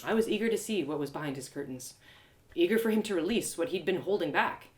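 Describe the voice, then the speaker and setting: A woman's voice: lowish voice, expressive, fast talker. Woman storyteller in an echoey room. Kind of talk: dramatic first-person story narration. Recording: roomy echo of home live reading.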